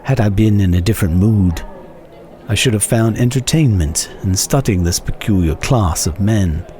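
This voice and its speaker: Mellow, low-voiced man reading a story. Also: moderate pace, slight background noise. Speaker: narrator, reader. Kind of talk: dramatic reading of a classic short story.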